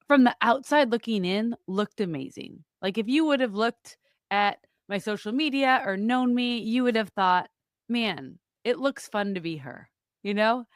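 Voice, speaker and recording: A woman's voice: a little high, expressive, a little slow, clear, resonant tone, dry recording, studio quality. A woman in her thirties from Wisconsin with a slight Wisconsin accent, telling her story as a podcast guest in a chatty way, with lots of "like" and "um". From Wisconsin, USA. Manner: chatty, frequent "like" and "um" fillers. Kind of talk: candid conversational storytelling. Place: remote studio.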